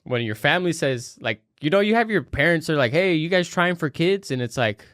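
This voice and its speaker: A young man co-hosting a podcast, chatting casually and joking, with lots of fillers, his pitch jumping up high when he quotes people. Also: animated, brisk, a bit bright, no echo, studio quality. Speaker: podcast co-host. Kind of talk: casual chat.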